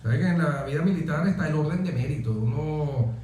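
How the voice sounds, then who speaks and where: A middle-aged Venezuelan man with a warm, dark and slightly high voice, recorded in a very reverberant room. He recounts things conversationally, repeating phrases for emphasis and asking himself questions before answering them. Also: expressive, fairly quick. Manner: emphatic repetition, rhetorical questions. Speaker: interview guest, military officer. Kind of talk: conversational anecdotal interview.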